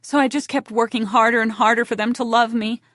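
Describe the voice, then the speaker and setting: A woman's voice: a little high, steady tone, brisk, a bit bright, no echo, studio quality, voice pushed up, lightly acted. A woman voicing a bitter, attention-hungry younger sister who complains in a stagy, overwrought way, playing to a crowd. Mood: wounded, theatrical.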